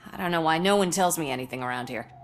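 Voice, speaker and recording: A woman's voice: low voice, a little fast. A woman playing a breezy, cynical commercial actress in a video game, talking in a wry conversational way. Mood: wry, casual. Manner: wry, casual.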